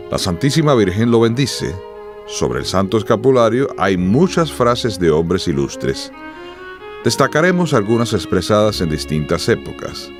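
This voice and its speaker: Deep, expressive male narrator over music. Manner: formal, dramatic storytelling. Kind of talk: scripted radio drama narration.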